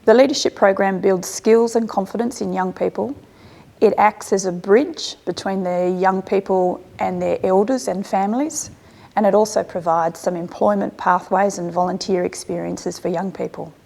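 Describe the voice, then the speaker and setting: Mellow woman explaining a program. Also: mid-range voice, fairly even intonation, moderate pace, vocal fry.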